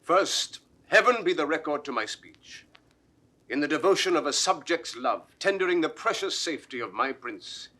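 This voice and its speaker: An actor playing a fierce, proud young noble accuser in declamatory Shakespearean verse. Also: male voice, medium pitch. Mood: formal, earnest. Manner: declamatory, forceful, ringing delivery. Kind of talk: classical Shakespearean verse acting, 1960s recording.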